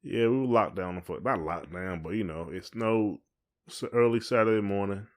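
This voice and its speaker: A man with a fairly low voice and a Southern US accent talks as a podcast co-host. His delivery is loose and colloquial, with a playful, teasing way of chatting. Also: moderate pace. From United States. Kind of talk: casual couple's podcast chat.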